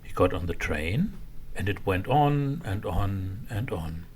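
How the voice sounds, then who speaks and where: A man narrating a children's bedtime story in a simple, expressive way for small children. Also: low-pitched, slow pace, slight background noise.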